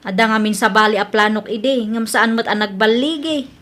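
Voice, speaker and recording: A woman playing a grown daughter with an Ilocano accent in an audio drama, speaking softly and earnestly. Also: fairly high pitch, moderate pace, lightly acted. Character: grown daughter, once scornful now humbled. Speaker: daughter Brenda.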